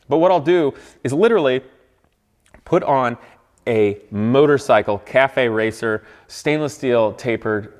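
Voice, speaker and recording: Animated man in a reverberant workshop. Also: medium pitch. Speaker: host of a car-building video. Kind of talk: casual explanatory talk to camera and camera operator. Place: car workshop. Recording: echoey hall-like workshop reverb.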